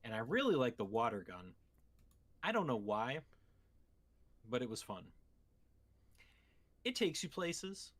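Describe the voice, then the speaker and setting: A man's voice: medium pitch, big pitch swings, a little slow, pauses often, fairly bright tone. A man co-hosting a podcast, chatting casually about video games and explaining things in a meandering, conversational way. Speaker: podcast co-host. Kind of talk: casual video game chat.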